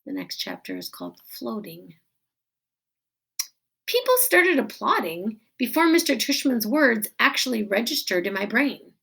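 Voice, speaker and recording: Slow, high-voiced woman reading a story. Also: gravelly, husky. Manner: deliberate, expressive, with weighted pauses. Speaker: teacher reading a book aloud. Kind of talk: read-aloud storytelling of a children's novel.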